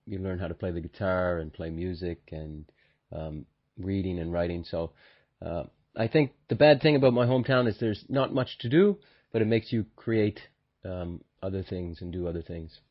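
A man's voice: low-pitched, normal speed. A man from Canada, answering casually with many hesitant ums. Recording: slightly muffled recording.

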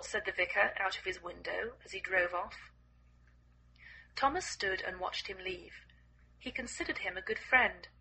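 A hoarse English woman hosting a podcast for English learners, reading a story aloud slowly and clearly. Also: mid-range voice, bright, forceful tone, a little hiss or hum, rough recording. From England.

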